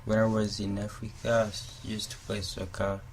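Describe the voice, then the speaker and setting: A man's voice: lowish voice, flat delivery, moderate pace, slight background noise. A teenage boy from Congo, a soccer player giving short answers in an interview. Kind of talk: informal interview.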